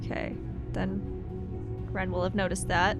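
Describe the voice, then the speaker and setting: A woman's voice: mid-range voice, little pitch movement, unhurried. A woman playing a tabletop roleplaying game, chatting casually at the table. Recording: prominent music behind voice. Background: music.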